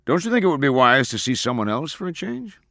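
A man's voice: medium pitch, very animated, fast talker, gravelly, fairly bright tone, no echo, clean studio sound, voice pushed up. Man playing a snide, disapproving father. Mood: scornful. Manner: snorting, pointed, condescending. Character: disapproving, snide father pressuring his daughter. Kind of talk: audiobook character acting.

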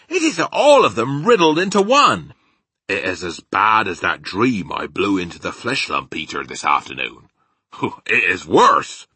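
Man acting a folksy, gravelly-edged friendly giant. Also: fairly low pitch, sharp tone, no echo, full character voice. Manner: folksy mangled grammar and invented words. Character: big friendly male giant, quaint, proud, folksy, earnest. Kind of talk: children's storybook character acting.

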